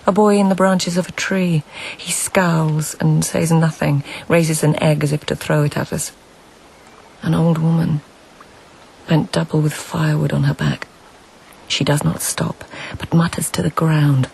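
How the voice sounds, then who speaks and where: An actress playing an observant court lady in a radio drama, speaking in measured, short literary phrases. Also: low voice, steady tone, creaky, darkish tone, breathy edge, full character voice, low-quality recording. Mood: reflective, measured. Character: reflective, observant court lady narrating her search. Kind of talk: radio drama narration and dialogue.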